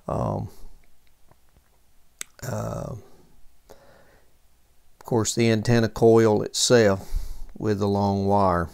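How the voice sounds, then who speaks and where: A man presents a hands-on technical tutorial in a casual, unscripted way. His voice is clear and resonant with a good deal of creak at a medium pitch. He takes his time, haltingly thinking aloud as he goes. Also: darkish tone. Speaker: presenter of vintage radio repair tutorial. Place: indoors at a workbench.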